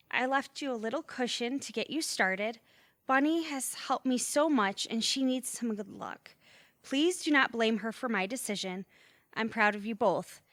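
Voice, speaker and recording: A wary, wounded adult daughter acted by a woman for audio theatre. She speaks earnestly in a slightly high voice with a highly varied melody, recorded dry. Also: fairly bright tone, no room sound. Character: hurt, wary adult daughter of a rich man.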